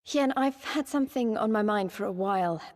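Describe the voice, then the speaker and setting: An actress playing a young female resistance fighter in a video game, passionate yet self-doubting, speaking in a heartfelt, halting, plain way. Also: medium pitch, a little mellow, long reverb tail, natural voice. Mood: troubled, pensive. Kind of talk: cinematic video game voice acting.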